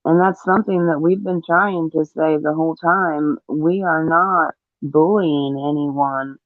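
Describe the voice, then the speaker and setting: A man speaking deliberately as a panelist on a live discussion, over narrow audio. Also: a little high, fairly even intonation, no room sound. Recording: narrow phone-like audio, very dry.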